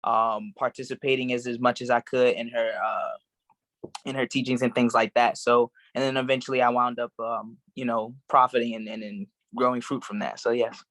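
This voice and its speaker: A man's voice: medium pitch, moderate pace, dry recording, poor audio. Young guy from maryland, laid-back interview answers, dry close mic. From Maryland, USA. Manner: relaxed, rambling with many fillers. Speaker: guest actor.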